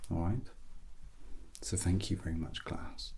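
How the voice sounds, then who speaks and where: A British man softly giving an ASMR English lesson as a teacher, breathy and often near a whisper, with an awkward, self-deprecating, gently encouraging manner. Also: low voice, measured pace, a little hiss or hum. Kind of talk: softly spoken ASMR grammar lesson roleplay.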